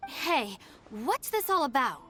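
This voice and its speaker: A woman's voice: sits high, sing-song, takes it slowly, over a noisy crowd, heavily acted. A woman voicing a capable, exasperated young woman in a video game, blunt and no-nonsense.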